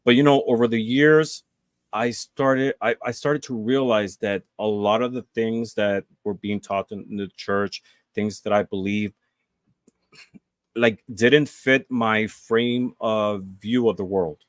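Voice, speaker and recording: A man's voice: fairly low pitch, steady tone, slow, no echo. A man, a guest telling his story in a casual interview, earnest and full of fillers and restarts. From Puerto Rico.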